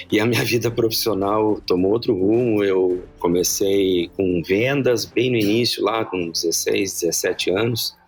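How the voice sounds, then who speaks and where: A man in his fifties with a Gaúcho Brazilian accent, a guest telling his career story in a relaxed, self-deprecating way. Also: fairly low pitch, breathy edge. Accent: Gaúcho Brazilian Portuguese. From Rio Grande do Sul, Brazil. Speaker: guest, brand director. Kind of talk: casual interview chat.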